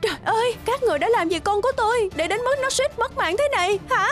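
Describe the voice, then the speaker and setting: A woman voicing a busy, brusque young mother in an animated drama, curt and quick to blame. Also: extremely high pitch, faint music behind. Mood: angry, accusing. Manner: curt, accusatory.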